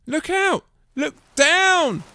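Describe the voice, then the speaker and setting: A man voicing a gentle misfit young male tyrannosaurus in a storybook, plaintive and hooting. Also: very high voice, big pitch swings, a little slow, pitched above the performer's own voice, exaggerated voice. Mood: urgent warning.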